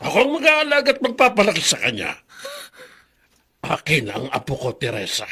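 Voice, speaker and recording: Man as older grandfather, proud and resentful yet doting, bright forceful voice, very lively intonation, Filipino drama acting. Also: fairly high pitch, a little fast, slightly rough, full character voice. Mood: stern, possessive.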